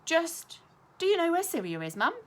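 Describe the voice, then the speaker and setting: Lady doing a curious little kid, earnest, voice pitched up and bouncy. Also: big pitch swings, normal speed. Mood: eager. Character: curious young schoolchild, eager and earnest.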